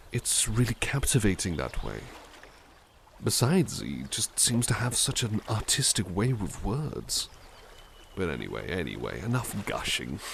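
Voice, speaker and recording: A man voicing a kind, polite butler in an ASMR roleplay, speaking softly and formally and reciting poetry with dramatic flair. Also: mid-range voice, slight background noise. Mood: gentle, chatty. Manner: polite, formal and soft-spoken; dramatic, lilting recitation of verse. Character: gentle, kindly adult male butler.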